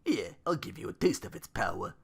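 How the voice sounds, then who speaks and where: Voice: sits low, big pitch swings, fairly quick, vocal fry, breathy edge, heavily acted. A performer voicing a smug, scheming princess who taunts formally, in a dramatic fan fiction reading. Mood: smug, taunting.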